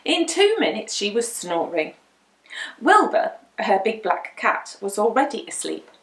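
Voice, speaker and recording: A woman storyteller reads a children's picture book with very lively, swooping intonation. Her voice is bright and slightly high, her delivery dramatic and deliberate, in a roomy echoing space. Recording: roomy indoor echo.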